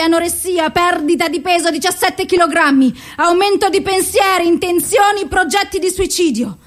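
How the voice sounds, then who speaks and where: Radio drama: female clinical reporter, very high voice, clipped theatrical recital of symptoms and doses. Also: a bit bright. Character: clinical female voice reciting a psychiatric case file.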